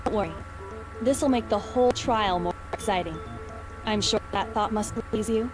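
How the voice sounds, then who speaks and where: A woman voicing a composed, confident teenage girl detective in a video game, calm and deliberate. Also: medium pitch, music in the background, close to an everyday voice, low-quality recording.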